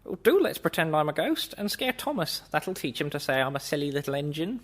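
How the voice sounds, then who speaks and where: A cheeky little tank engine with a high, lilting voice that leaps up and down, as a male reader puts him on. He sounds eager and mischievous, always ready for a prank, in a storybook telling. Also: fairly quick. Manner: excitable, mischievous.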